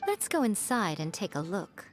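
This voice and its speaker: A woman's voice: medium pitch, big pitch swings, normal speed, soft background music, natural voice. A woman voicing a sly, elegant fox-spirit shrine priestess in a video game, teasing and composed. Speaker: game character.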